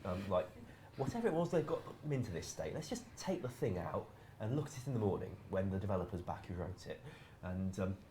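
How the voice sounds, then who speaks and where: A man's voice: sits low, sing-song, fast talker, few pauses, noisy background. A man telling a work anecdote casually as a game developer, in a dry, understated, precise way. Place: live stream room in an office.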